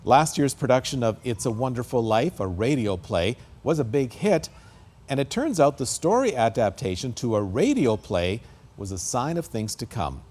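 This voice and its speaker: Man, interview host, medium pitch, lively intonation, scripted and conversational presenting. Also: normal speed, husky.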